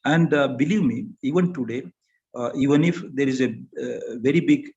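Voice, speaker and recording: Older Indian English male panelist, gravelly voice, unhurried and halting delivery, muffled lo-fi recording. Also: mid-range voice, darkish tone. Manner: halting, thoughtful, with many pauses and fillers. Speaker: panel speaker. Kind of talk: academic talk.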